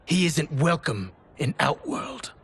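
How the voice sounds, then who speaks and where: An actor playing a hostile, commanding soldier loyal to a usurper in a video game cutscene, with a gravelly voice. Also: male voice, on the high side, very animated, slow, full character voice. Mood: menacing. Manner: menacing, commanding. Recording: loud music under the voice.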